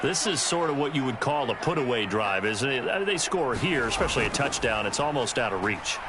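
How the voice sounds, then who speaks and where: An actor playing a polished, upbeat American TV football announcer calling play-by-play in a video game. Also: male voice, medium pitch, expressive, fairly quick, very noisy, close to an everyday voice. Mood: measured. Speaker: play-by-play sports commentator.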